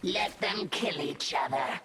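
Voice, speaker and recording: A woman's voice: low-pitched, animated, slow pace, very noisy. A woman playing a stern, vigilant alien swarm officer in a video game, giving clipped orders and reports. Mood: stern, urgent. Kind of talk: video game mission dialogue. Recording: loud music behind the voice.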